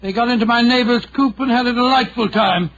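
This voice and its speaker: An actor playing Doctor Watson, a genial older British gentleman storyteller, avuncular and a little hesitant, in 1940s radio drama style. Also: very high-pitched, a little fast, slight background noise. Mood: genial, reminiscing. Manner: avuncular, hesitant, old-fashioned phrasing. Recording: muffled vintage 1940s radio recording.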